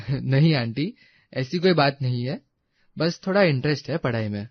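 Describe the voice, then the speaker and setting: A man's voice: mid-range voice, lively intonation, moderate pace, dry recording, dull audio. A man playing a polite, earnest young college student in a story drama. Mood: modest, friendly. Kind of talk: acted Hindi audio story dialogue.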